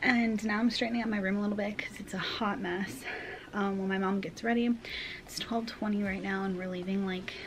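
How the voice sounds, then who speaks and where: A woman's voice: medium pitch, fairly even intonation, brisk, slight background noise. Chatty young woman vlogging at home. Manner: chatty, upbeat, lots of "like" and "um". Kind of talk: casual vlog chat to camera. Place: small bedroom at home, indoors.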